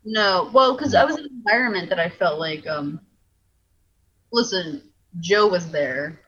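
A woman's voice: lowish voice, expressive, a little slow, a bit bright, muffled recording. A woman comedian co-hosting a podcast, frank and joking, a little tipsy. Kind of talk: casual podcast chat.

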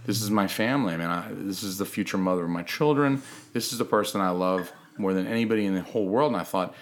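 A man's voice: sits low, fast talker. A young man hosting a casual comedy podcast, rambling and mock-earnest as he jokes with his guest. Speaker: podcast host.

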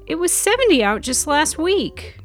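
Woman doing a young student gal, wry and expressive, voice up high, audiobook character. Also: normal speed, faint music behind. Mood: exasperated.

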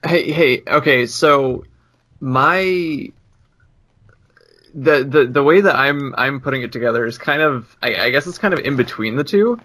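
A man's voice: medium pitch, lively intonation, unhurried, pushed and bright. A man co-hosting a podcast, talking casually in a group discussion. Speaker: podcast co-host.

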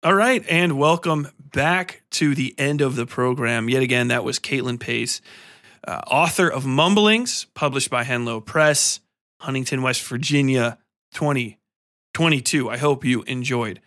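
A man hosting a podcast in a casual, energetic and informal way, chatting freely and swearing now and then. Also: medium pitch, a little slow. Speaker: podcast host and interviewer. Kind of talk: casual podcast chat and sign-off.

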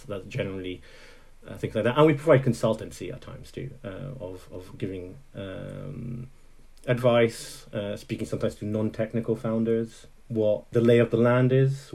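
A man's voice: fairly low pitch, dark tone. A man from Italy, speaking as an interview guest in a thoughtful way, often restarting his sentences. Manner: thoughtful, full of restarts and fillers. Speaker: guest, software engineer. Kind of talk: interview conversation.

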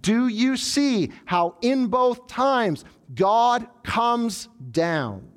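Lively, animated male pastor preaching. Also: very high voice, extremely slow. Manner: animated preaching, voicing the proud tower builders. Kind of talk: sermon.

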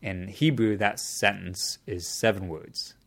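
Deep-voiced man explaining things thoughtfully. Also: steady tone, slow, creaky, a bit bright. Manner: thoughtful, explanatory, with frequent restarts. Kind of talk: casual conversation.

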